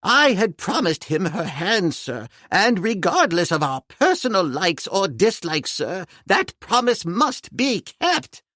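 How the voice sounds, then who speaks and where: A man's voice: high voice, big pitch swings, takes it slowly, few pauses, bright, forceful tone, no echo, studio quality, voice pushed up, exaggerated voice. A man doing a flustered old professor. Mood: indignant. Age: older. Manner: formal, indignant, repeats "sir". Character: fussy elderly male professor, proud and flustered. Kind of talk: audiobook character voice.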